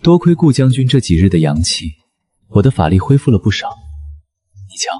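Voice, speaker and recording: Mellow, low, aloof chancellor played by a man. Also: sing-song, a little fast, husky. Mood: confident. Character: aloof, teasing male chancellor, secretly a rabbit spirit.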